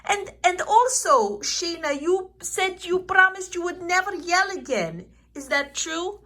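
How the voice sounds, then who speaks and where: A woman voicing a kindly, excitable uncle puppet in a children's show, exclaiming and teaching slowly. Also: very high voice, full character voice. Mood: instructive. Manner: exclaiming, slow, instructive.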